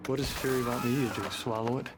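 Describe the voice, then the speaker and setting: A man's voice: mid-range voice, fairly even intonation, quick pace, music in the background, natural voice. A man playing a wary, reluctant scientist, guarded and hesitant, in a video game cutscene.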